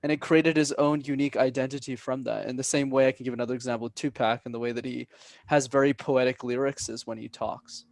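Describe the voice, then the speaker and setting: Clear-spoken young man with gravelly edge. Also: medium pitch, fairly even intonation, a little mellow, no echo. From Canada. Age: young adult. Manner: clear deliberate enunciation, coaching tone. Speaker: public speaking coach, guest. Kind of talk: podcast interview.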